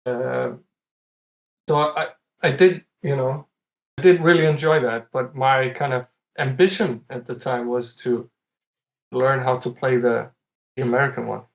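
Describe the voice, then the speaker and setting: A man's voice: medium pitch, steady tone, measured pace, gravelly, rough recording. A man from Iceland chatting casually as a podcast guest, telling stories in a conversational way. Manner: chuckles often while talking. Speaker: podcast guest, competitive retro game player.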